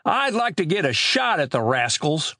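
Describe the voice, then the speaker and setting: A man's voice: on the high side, sing-song, normal speed, bright, forceful tone, no echo, studio quality, voice pushed up, full character voice. A man voicing a folksy old village storekeeper in a storybook, with rustic, ungrammatical country talk. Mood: indignant, determined. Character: folksy old male village storekeeper, aggrieved but generous. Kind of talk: storybook character voice.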